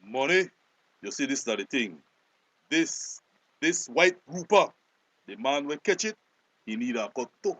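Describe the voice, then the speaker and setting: An actor playing a guarded, scornful small-town dealer in a screen drama, blunt and with a Belizean accent. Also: male voice, mid-range voice, lively intonation, unhurried, long pauses, a bit bright, no room sound, low-quality recording. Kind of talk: acted screen drama dialogue.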